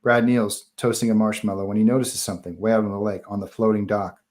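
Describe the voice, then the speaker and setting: A man's voice: on the low side, little pitch movement, fast talker, a little mellow. A man narrating stage directions at a live table read of a horror screenplay, in scripted narration style.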